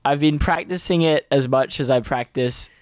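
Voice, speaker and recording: A man's voice: mid-range voice, normal speed, no room sound. A man co-hosting a radio show, chatting casually in a rambling, self-deprecating way. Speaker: radio co-host and stand-up comedian.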